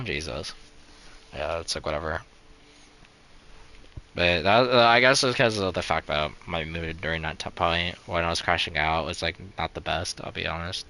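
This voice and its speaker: Young man, low voice, crisp and smooth, bright tone, rambling casual stream chat, lots of filler words, flat resigned delivery. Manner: rambling, heavy on "like" and "you know" fillers; restarts sentences often. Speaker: game streamer. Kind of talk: casual chat while live-streaming a game.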